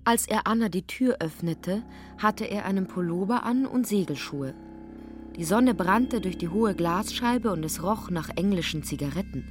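A woman's voice: mid-range voice, expressive, moderate pace, music in the background. A woman narrating a literary radio drama as a scripted storyteller.

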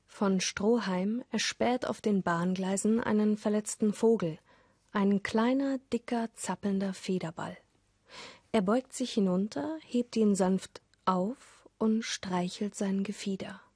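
A woman with a soft, slightly husky voice, telling a scripted radio story in a measured way. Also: mid-range voice, dry recording. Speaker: narrator. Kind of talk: scripted radio play narration in German. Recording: dry studio with music underneath. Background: music.

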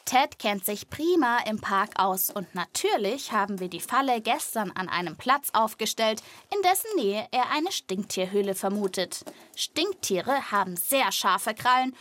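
A woman hosting a children's podcast, speaking to young listeners directly and chattily in lively scripted narration. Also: mid-range voice, measured pace.

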